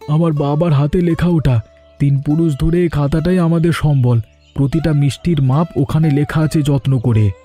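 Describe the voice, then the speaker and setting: A man's voice: on the high side, steady tone, normal speed, dark tone, lightly acted. A man voicing an anxious, humble middle-aged village sweet-shop owner in a cartoon, speaking plaintively and earnestly. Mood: hopeful. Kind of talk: cartoon drama dialogue. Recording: music under the voice.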